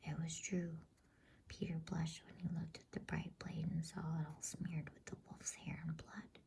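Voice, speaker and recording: A woman reads a bedtime story in a hushed, soothing, breathy ASMR whisper with a husky edge. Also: low-pitched, little pitch movement. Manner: slow, hushed, soothing delivery. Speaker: host reading bedtime stories.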